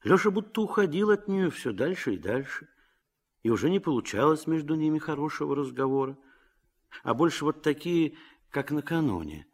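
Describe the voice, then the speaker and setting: A man narrating a literary radio drama as a gently ironic storyteller. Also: fairly high pitch, very animated, normal speed. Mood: wry, reflective.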